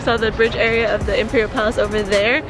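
A woman's voice: medium pitch, steady tone, quick pace. A young woman in her twenties, chatting casually as a travel vlogger while she walks around with a handheld camera. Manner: chatty, upbeat, filler-heavy with frequent "like". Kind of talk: casual travel vlog narration. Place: outdoors on busy city streets and in markets and shops. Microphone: handheld camera at arm's length. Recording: music and crowd noise behind.

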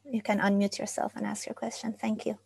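A woman's voice: mid-range voice, level tone, brisk, creaky, a little mellow, slightly rough, no room sound. A woman in her forties politely moderating an online workshop Q&A, with frequent ums, over an earphone mic. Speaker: workshop host. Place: study with framed pictures, indoors. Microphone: wired earphone mic on a video call.

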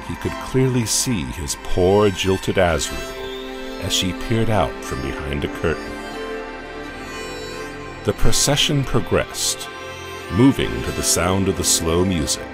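A man narrating a horror audio comic in a slow, measured, ominous way. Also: sits low. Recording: narration over loud music.

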